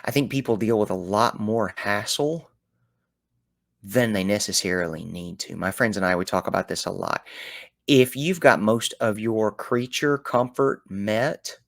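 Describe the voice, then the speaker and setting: A man with a Southern US accent talking through his thoughts in a reflective solo show, animated, with folksy turns of phrase and sudden loud bursts. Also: lowish voice, unhurried, vocal fry, dry recording, clean studio sound. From American South. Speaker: podcast host.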